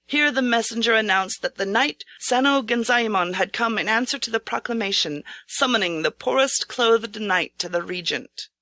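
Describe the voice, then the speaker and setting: A woman reading a scripted storybook tale as narrator, in a measured, formal, dramatic manner. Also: on the high side, pushed and bright, no echo. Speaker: audiobook narrator.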